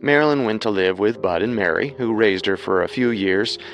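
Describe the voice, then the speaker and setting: A man's voice: on the low side, a little fast, music in the background. A man hosting a podcast with scripted true-crime narration. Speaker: podcast co-host.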